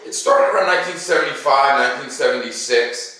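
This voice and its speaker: A man in his fifties presents picture books aloud for children. His voice is medium in pitch with a bright, forceful edge. He phrases expressively and leans on key words. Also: quick pace, low-quality recording. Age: 50s. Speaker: read-aloud host.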